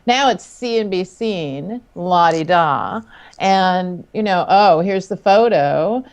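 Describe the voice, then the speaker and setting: A woman's voice: fairly low pitch, animated, takes it slowly. A woman panelist talking casually and with strong opinions in a group discussion, outspoken and wry.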